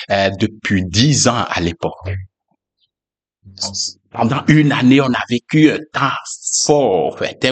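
Man giving a hesitant, reflective testimony. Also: mid-range voice. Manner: reflective, hesitant, many fillers. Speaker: pastor. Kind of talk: personal testimony.

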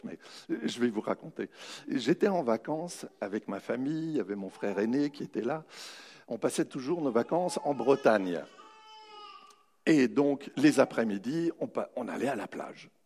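A man giving a humorous, anecdotal motivational talk, telling his story with dramatic pauses. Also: fairly low pitch, darkish tone. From raised bilingual French-German, in a French-German family. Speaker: motivational speaker. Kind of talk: anecdotal public talk to an audience.

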